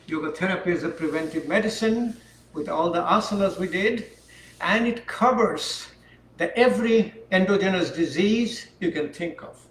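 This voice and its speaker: A man teaching a yoga class, speaking calmly and unhurriedly while he demonstrates the poses. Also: sits high, slight background noise. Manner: calm, unhurried instruction while holding poses. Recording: echoey room, voice from far off.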